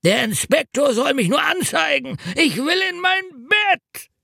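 Hungover older road worker, high whiny groaning voice, slow dragging delivery. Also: male voice, big pitch swings, gravelly, bright, forceful tone, dry recording, clean studio sound, exaggerated voice. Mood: wailing. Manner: groaning, wailing, slurred complaints. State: drunk and sick.

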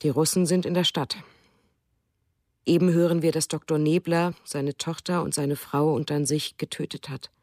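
Quiet reflective woman narrating. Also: low-pitched, steady tone, normal speed, dry recording, studio quality. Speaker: reader in a radio documentary drama. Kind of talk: scripted narration.

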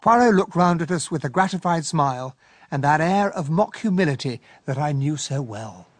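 An actor playing an observant English gentleman, a detective's companion, narrating a radio drama in the first person. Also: on the high side, expressive, moderate pace, dry recording. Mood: wry, recounting.

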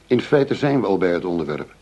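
An actor playing an earnest, driven middle-aged police chief inspector in a radio drama, speaking formally, insistently and with measured phrasing. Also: male voice, deep voice, very animated, a little mellow, highs cut off, a little hiss or hum. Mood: dissatisfied, earnest.

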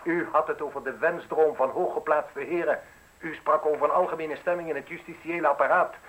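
An actor playing a defiant, sceptical newspaperman in a radio play, with a husky voice and a curt, probing delivery. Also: a little high, steady tone, brisk, a bit bright, dull audio. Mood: challenging, accusing. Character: defiant, sceptical male newspaperman facing arrest, sharp-witted. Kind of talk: Dutch radio play acting.

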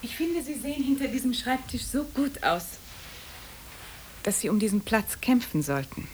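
A woman's voice: on the high side, sing-song, moderate pace. An actress playing an elegant, discreet society lady in a German radio play, poised, refined and formal. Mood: playful, cool. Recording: hissy vintage radio drama recording.

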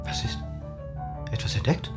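An actor playing a boastful, eccentric and lonely ship's pilot in a radio drama, self-satisfied and excitable. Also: male voice, moderate pace, over loud music, lightly acted. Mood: smug.

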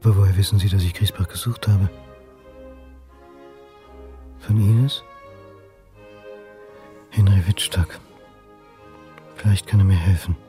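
An actor playing a brooding, dogged history lecturer turned amateur sleuth in a radio drama, terse, pensive and probing. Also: male voice, low-pitched, fairly even intonation, measured pace, pauses often, warm tone, soft background music.

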